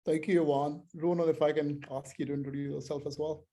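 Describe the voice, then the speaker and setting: A man hosting a webinar over a video call, speaking haltingly with many fillers and restarts. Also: a little high, little pitch movement, mellow. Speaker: event host, moderator. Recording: dull, muffled call audio.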